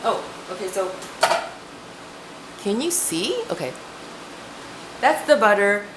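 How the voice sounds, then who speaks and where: A woman's voice: mid-range voice, sing-song, slow, background noise. A woman from Ecuador whose first language is Spanish, chatting casually and rambling as she sets out ingredients in her home kitchen, often correcting herself. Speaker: home cooking vlogger. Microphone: camera mic, speaker off screen.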